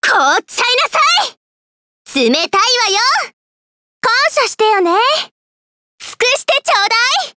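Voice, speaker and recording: A woman's voice: very high-pitched, sing-song, a little fast, pauses often, bright, forceful tone, no echo, clean studio sound, exaggerated voice. A woman playing a vain, bossy, girlish young noble lady in an anime-style game, pompous and pouty. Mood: fierce, bossy.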